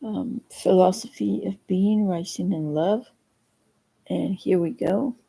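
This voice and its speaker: Woman reading aloud haltingly, creaky voice. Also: mid-range voice, mellow, low-quality recording. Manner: halting, stumbles over words and corrects herself. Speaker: reader of a book aloud, video host. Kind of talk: informal read-aloud of a self-help philosophy book.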